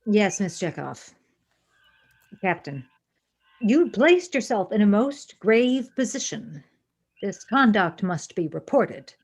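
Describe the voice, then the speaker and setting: A woman's voice: medium pitch, very animated, slow pace, long pauses, darkish tone, breathy edge, heavily acted. An actress playing a stern, logical female first officer in an audio drama, speaking formally and precisely, with reproof. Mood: disapproving.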